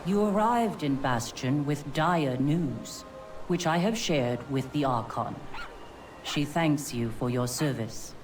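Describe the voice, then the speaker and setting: A woman's voice: low voice, lively intonation, slow pace. A woman voicing a stern, aloof winged superior in a video game, speaking formally and imperiously. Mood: solemn. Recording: slightly distant, faint music behind.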